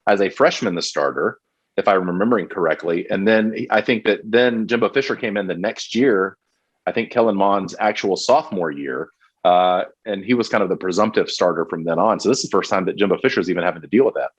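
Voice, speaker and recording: Low, husky man co-hosting a podcast. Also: fast. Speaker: podcast co-host. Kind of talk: casual sports chat. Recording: dull lo-fi mic.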